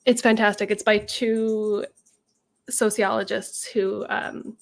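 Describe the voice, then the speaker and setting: A woman co-hosting a casual chat, nasal from a cold, chatty and quick to laugh. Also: mid-range voice, flat delivery, gravelly. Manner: chatty, laughs easily, many ums. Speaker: co-host.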